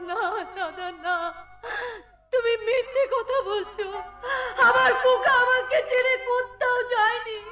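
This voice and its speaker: A woman's voice: very high-pitched, fairly even intonation, unhurried. An actress playing a desperate, grief-stricken poor mother in melodramatic Bengali folk theatre, wailing and repeating broken phrases. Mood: frantic grief. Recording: dull lo-fi recording under loud music.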